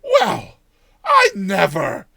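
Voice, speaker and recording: A man's voice: high voice, wildly swooping pitch, extremely slow, creaky, pushed and bright, dry recording, clean studio sound, voice pushed up, exaggerated voice. A man voicing a cheery, ever-optimistic old countrywoman from a storybook, exclaiming in folksy rustic speech. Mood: delighted.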